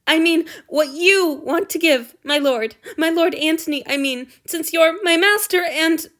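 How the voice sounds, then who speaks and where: A woman's voice: very high-pitched, unhurried, a bit bright, voice pushed up. A woman voicing a distraught young male servant who grovels, wails apologies and breaks off in sniffles, in a storybook reading. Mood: wailing.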